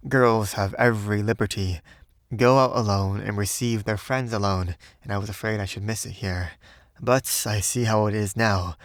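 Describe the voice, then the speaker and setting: Male narrator as a young American gentleman, lowish pitch, courteous, boyish, eager, naive, dry close sound. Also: no room sound. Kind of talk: audiobook character voice.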